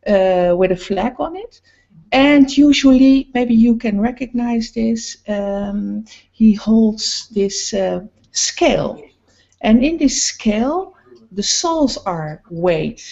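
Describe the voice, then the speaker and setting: A middle-aged woman painter speaking slowly and hesitantly about her paintings, with many pauses, on a video call. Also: mid-range voice, warm tone. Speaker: painter guest. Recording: muffled video call audio.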